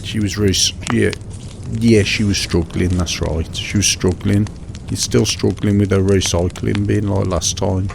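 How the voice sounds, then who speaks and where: Deep, gravelly British man rambling sleepily. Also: a little slow. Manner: mundane, hesitant, rambling, soothing, repeats himself. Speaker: host of a sleep relaxation show. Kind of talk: monotonous one-sided phone chat for falling asleep. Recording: steady background noise, fireside crackle.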